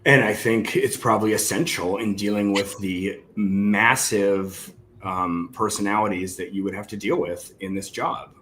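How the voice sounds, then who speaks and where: A man's voice: fairly low pitch, moderate pace, fairly bright tone, slight background noise. A man hosting a casual literary interview, speaking in a rambling, conversational way with lots of "like". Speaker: interviewer, host.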